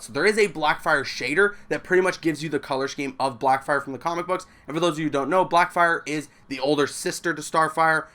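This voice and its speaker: Male YouTuber, chatty game news commentary, eager delivery, slightly high voice. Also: fairly bright tone. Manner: enthusiastic, chatty. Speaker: gaming YouTuber.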